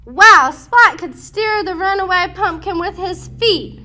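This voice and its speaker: A woman narrating a children's storybook as an expressive read-aloud, with dramatic, deliberate emphasis. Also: extremely high pitch.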